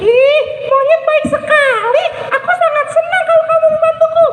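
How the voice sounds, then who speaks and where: An eager little storybook turtle, squeaking at an extremely high pitch. It is cheerful, wide-eyed and determined, full of exclamations, in an echoey hall with clear noise behind. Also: female voice, normal speed. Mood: delighted. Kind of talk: live children's storytelling character voice.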